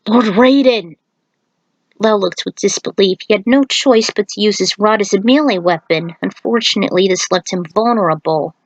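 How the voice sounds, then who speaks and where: A woman's voice: medium pitch, brisk, hardly any pauses, no echo, highs cut off. A woman reading an action fantasy story aloud as a narrator, in a dramatic scripted style.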